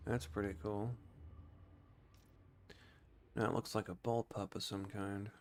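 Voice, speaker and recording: Low-voiced man rambling over gameplay. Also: level tone, slow, slight background noise. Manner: rambling, musing to himself. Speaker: video game streamer. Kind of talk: casual gameplay commentary.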